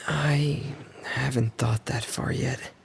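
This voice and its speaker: A man voicing a quiet, earnest, reserved young man in a video game. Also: medium pitch, steady tone, slow, vocal fry, a little hiss or hum. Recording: game audio with music.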